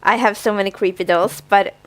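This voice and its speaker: A woman's voice: medium pitch, fairly even intonation, a little fast, low-quality recording. A woman co-hosting a casual fan podcast, telling stories in a giggly, repetitive way. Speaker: podcast co-host.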